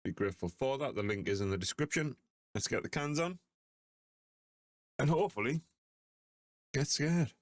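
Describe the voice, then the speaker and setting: An older man with a hoarse voice from a cold, chatting casually as he reacts to ghost videos. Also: mid-range voice, lively intonation, moderate pace, pauses often, dry recording. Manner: chatty, easygoing.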